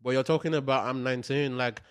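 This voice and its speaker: A man's voice: medium pitch, steady tone, fast. Young man in an echoey room. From Australia. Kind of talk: casual chat, storytelling.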